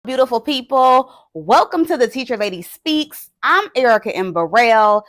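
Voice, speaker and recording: A woman hosting an educational talk as an experienced teacher, speaking in an expressive, emphatic way. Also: a little high, normal speed, no echo. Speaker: host and experienced early-years educator.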